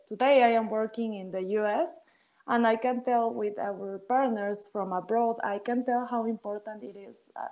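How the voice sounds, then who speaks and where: High-pitched young woman on a panel. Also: mellow, low-quality recording. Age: young adult. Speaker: panelist, postdoctoral battery researcher. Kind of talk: panel discussion, semi-spontaneous talk.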